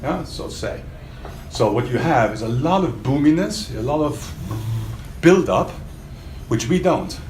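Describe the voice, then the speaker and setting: The recording is echoey, with faint background noise, as in a hotel demo room. A man with a mid-pitched voice presents a product to a small audience. He speaks spontaneously and emphatically, often putting questions to his listeners. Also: lively intonation, a little slow, darkish tone. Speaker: product demonstrator for a loudspeaker maker.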